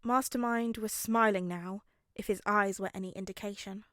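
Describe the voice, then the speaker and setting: A woman's voice: medium pitch, fairly even intonation, normal speed, fairly bright tone, no room sound, clean studio sound. A woman narrating a scripted story as a podfic reader.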